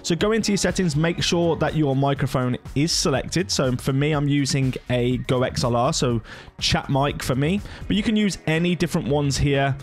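A man in his thirties giving a casual software walkthrough as a gaming YouTuber. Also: on the high side, normal speed. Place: indoor home gaming setup.

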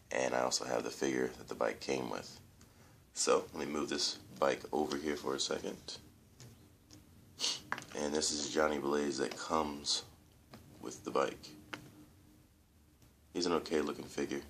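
A man's voice: a bass voice, creaky, a little hiss or hum. A young man with a stuffy, congested voice, rambling casually to viewers as he reviews a toy at home. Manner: rambling, loose, repeats fillers and addresses viewers as guys. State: congested, nasal from allergies. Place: room at home, indoors.

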